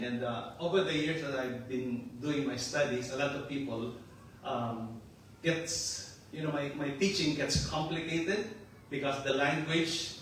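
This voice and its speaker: An older man with a Filipino accent mixed with some Australian preaches in a loose, joking way. He is picked up from well off the mic, so the voice rings around a very reverberant room. A steady hiss of noise sits under it. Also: medium pitch, far from the mic. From Philippines. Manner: joking and chatty, laughs often. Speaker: pastor. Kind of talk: casual sermon.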